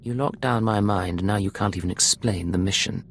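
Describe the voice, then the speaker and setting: An actor playing a cool, defiant intelligence agent in a video game, terse and confrontational. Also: male voice, fairly low pitch, moderate pace, a little hiss or hum, lightly acted. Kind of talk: dramatic video game voice acting.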